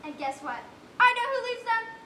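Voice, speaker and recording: A woman's voice: very high-pitched, very animated, moderate pace, sharp tone, exaggerated voice. A young female performer playing an earnest, fanciful orphan girl in a stage play, with quaint, wide-eyed phrasing. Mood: curious, innocent. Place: stage in a roomy hall. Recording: noisy, echoey stage performance recording.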